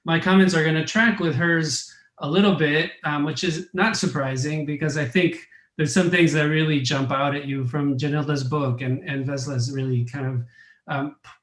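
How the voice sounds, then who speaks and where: A man's voice: a little high, fairly even intonation, moderate pace, a bit bright, reverberant. A middle-aged man from Argentina, a professor commenting on a panel in a thoughtful academic way, often restarting and repeating his words.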